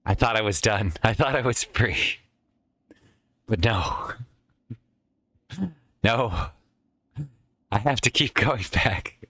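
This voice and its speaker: A man's voice: on the low side, animated, takes it slowly, long pauses, bright, forceful tone, dry recording. A man casually commenting while playing a video game, chatty and rambling with some swearing, his voice tired from a lot of recent use. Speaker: let's play host.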